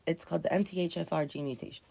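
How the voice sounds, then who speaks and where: A woman in her twenties, raspy and just woken up, rambling earnestly and correcting herself as she hosts a casual live chat. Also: sits low, fairly even intonation, very fast, dark tone, a little hiss or hum. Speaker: livestream host.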